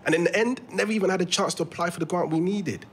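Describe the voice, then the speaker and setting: A man's voice: high-pitched, rapid-fire, a bit bright. An actor playing an eager, earnest young London theatre actor in an audio drama, overenthusiastic and stumbling over his words, with a London accent. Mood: earnest, pleading. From London, England. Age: young adult. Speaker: actor in a fiction podcast. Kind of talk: naturalistic audio drama dialogue. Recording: faint ambient background noise.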